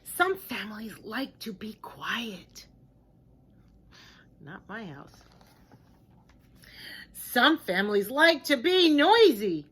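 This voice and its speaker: Woman, teacher reading a storybook to kids, slow pace, lively swooping pitch, hushed asides. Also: a little hiss or hum. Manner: slow, expressive, simple deliberate phrasing. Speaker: teacher reading a picture book aloud to children. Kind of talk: children's storybook read-aloud with chatty asides.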